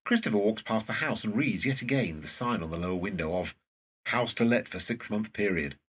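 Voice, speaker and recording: A man with a husky voice reading scripted stage directions as narrator of a drama. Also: on the low side, expressive, a little fast, fairly bright tone, distant mic.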